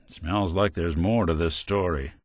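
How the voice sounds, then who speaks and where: An American actor playing a world-weary, gruff but decent synthetic private detective in a noir game, with a dry, sardonic manner. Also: male voice, low-pitched, steady tone, measured pace, no room sound. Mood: businesslike. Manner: dry, sardonic, old-time gumshoe slang. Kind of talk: noir hard-boiled detective game dialogue.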